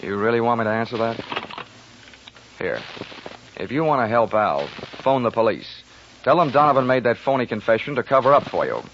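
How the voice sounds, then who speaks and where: An actor playing a wry, hard-boiled insurance investigator in a 1940s radio detective drama, wisecracking and clipped, both in narration and in dialogue. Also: male voice, medium pitch, very animated, normal speed, pauses often, slight background noise. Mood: sardonic. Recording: dull lo-fi 1940s radio recording.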